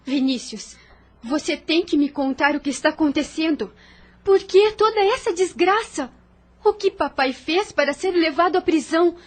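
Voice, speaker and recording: A woman's voice: extremely high pitch, lively intonation, moderate pace. A woman voicing a firm, worried young sister who questions her brother insistently in an audio drama.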